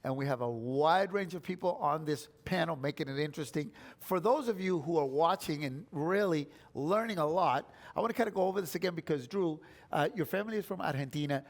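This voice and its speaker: Brief: man with a fairly high voice, upbeat panel host asking questions. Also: fairly quick, hardly any pauses. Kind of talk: hosting a panel discussion.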